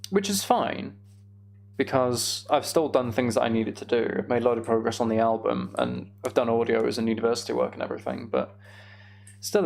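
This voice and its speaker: Creaky-voiced young man chatting on stream. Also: mid-range voice, a little mellow, slight background noise. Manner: meandering, thinking aloud to himself. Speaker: live game streamer. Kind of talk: casual gameplay commentary, chatting with viewers.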